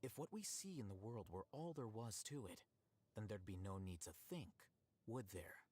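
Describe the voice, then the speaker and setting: An actor playing an aloof, bookish young male scholar in a video game, speaking in a measured, precise, deadpan way. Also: lowish voice, husky. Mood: aloof, matter-of-fact. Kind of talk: scripted video game voice lines.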